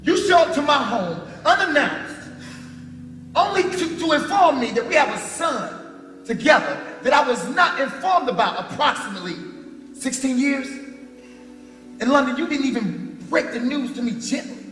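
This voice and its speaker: High-pitched, bitter businessman, echoey hall. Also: lively intonation, sharp tone, full character voice. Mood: incredulous, outraged. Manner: theatrical, scornful, emphatic, drawn-out delivery. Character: bitter, proud self-made businessman confronting his ex-girlfriend. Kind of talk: gospel stage play acting. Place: stage in a large hall. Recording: echoey theatre hall with music underneath.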